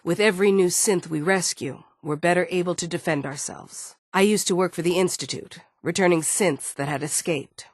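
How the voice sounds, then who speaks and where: A woman's voice: fairly low pitch, moderate pace, few pauses, dry recording, studio quality, lightly acted. A woman voicing a stern, guarded android security chief in a video game, firm and businesslike. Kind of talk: scripted game dialogue.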